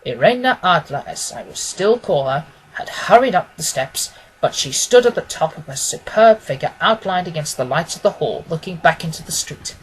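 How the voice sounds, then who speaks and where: A man narrating a classic story as a scripted audiobook, in a measured, literary storytelling manner. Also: fairly high pitch, expressive, slightly rough, low-quality recording.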